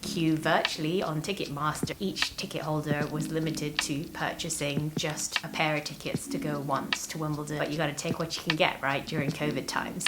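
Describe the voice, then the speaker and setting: A woman's voice: low voice, heavy background noise. A woman in her thirties from Hong Kong hosting a personal vlog, chatting to camera in an enthusiastic, emphatic way. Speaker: vlog host. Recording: voice over prominent background music. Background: music.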